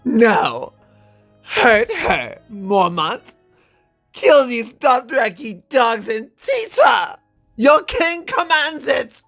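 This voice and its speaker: A man's voice: very high-pitched, sing-song, drawn-out words, gravelly, fairly bright tone, soft background music, voice pushed up, full character voice. A man voicing an arrogant, raging young exiled prince in an imperious, outraged manner for a dramatic book reading. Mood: furious shouting.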